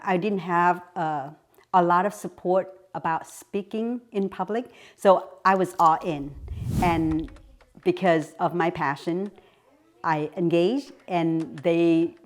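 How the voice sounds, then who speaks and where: A woman from Asia speaking about herself in a personal interview as an event chair. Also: on the low side. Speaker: event chair and nonprofit vice president.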